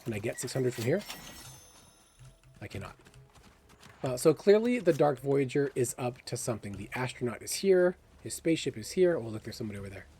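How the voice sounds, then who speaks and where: A man's voice: medium pitch, sing-song, fairly quick, warm tone, slight background noise. A man hosting a gaming video with casual, chatty commentary, thinking aloud and enthusiastic about the game. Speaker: gaming video creator and host.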